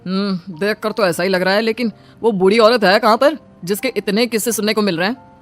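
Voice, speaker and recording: A woman's voice: medium pitch, a little fast, soft background music, close to an everyday voice. A woman voicing a concerned, questioning husband and grown son in a cartoon story. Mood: puzzled.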